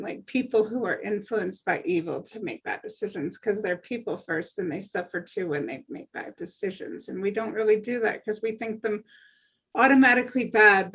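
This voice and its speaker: A woman's voice: medium pitch, vocal fry, slightly rough. A woman speaking earnestly and conversationally in a group discussion.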